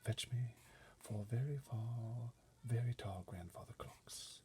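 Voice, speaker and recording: A man voicing a discreet, commanding royal butler from a storybook, whispering his formal, measured orders in a breathy voice. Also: mid-range voice, a little mellow, pitched above the performer's own voice, lightly acted. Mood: hushed, commanding. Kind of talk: storybook readaloud character voice.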